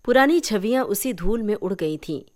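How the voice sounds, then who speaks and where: A woman narrating a short story in an expressive storytelling style. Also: medium pitch, moderate pace. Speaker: story reader.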